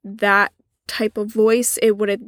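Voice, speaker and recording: A woman with a slightly deep voice in a casual chat, agreeable and hesitant, searching for words. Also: level tone, unhurried, no room sound, studio quality. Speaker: co-host.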